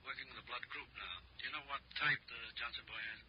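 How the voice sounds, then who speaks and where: An actor playing a matter-of-fact crime lab technician on the telephone in a 1950s radio drama, brief and technical. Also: male voice, mid-range voice, fast talker. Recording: very noisy dull radio telephone sound.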